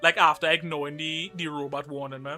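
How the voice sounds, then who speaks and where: A man's voice: fairly high pitch, level tone, fairly quick, bright, forceful tone, soft background music. A young man with a Trinidadian accent chatting casually on a live game stream, joking and reading chat messages aloud. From Trinidad and Tobago.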